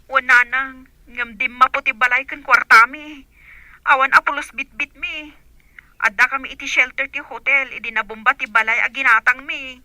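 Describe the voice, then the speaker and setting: A woman's voice: high voice, steady tone, moderate pace, sharp tone, no room sound, low-quality recording. A woman playing a distressed grown daughter in a radio drama, with an Ilocano accent. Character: distressed grown daughter calling her mother from abroad.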